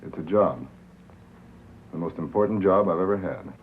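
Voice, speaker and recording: An actor playing a wry, worldly, cynical radio reporter with a terse, dry delivery in a 1950s film drama. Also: male voice, sits low, normal speed, close to an everyday voice. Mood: guarded. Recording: narrow-band, hissy 1950s film soundtrack.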